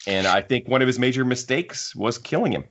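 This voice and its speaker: A man's voice: medium pitch, animated, a little fast, fairly bright tone. A man hosting a casual panel discussion about books, talking in an emphatic, opinionated way. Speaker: podcast host. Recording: muffled lo-fi audio.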